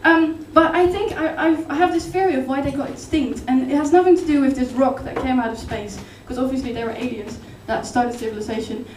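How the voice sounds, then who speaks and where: A woman with a Dutch accent performing a rambling, hesitant stand-up set in a deadpan, absurd way. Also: sits high, fairly quick, few pauses, roomy sound, recorded from a distance, slight background noise. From Netherlands. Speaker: stand-up comedian. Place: comedy club stage. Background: audience laughter.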